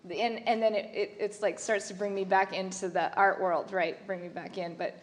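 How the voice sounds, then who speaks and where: A woman artist talks on a discussion panel. Her pitch sits in the middle, and she rambles casually, circling back and restarting her sentences. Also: flat delivery, normal speed.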